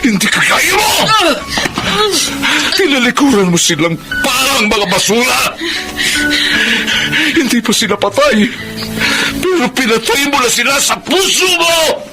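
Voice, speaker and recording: A man's voice: very high voice, sing-song, unhurried, pauses often, full character voice, low-quality recording. An actor playing an embittered, grieving father with a Filipino accent in a radio drama that tells his life story. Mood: furious, accusing. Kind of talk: Filipino radio drama acting with first-person narration. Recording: loud dramatic music under the voice.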